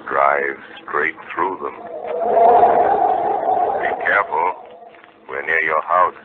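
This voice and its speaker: An actor playing a sinister, calmly menacing hypnotist avenger in an old-time radio drama, on a muffled, noisy old recording. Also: male voice, rumbling low, takes it slowly. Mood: calm menace.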